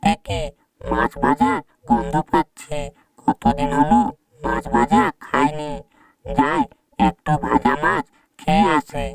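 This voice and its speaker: A greedy, mischievous she-ghost from a cartoon, put on by an actor, musing in slow, drawn-out phrases. The voice sits at some distance, with a murmur of crowd behind it. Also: mid-range voice, sing-song. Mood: craving. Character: greedy mischievous female tree-dwelling ghost, the shakchunni. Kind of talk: scripted cartoon voice acting.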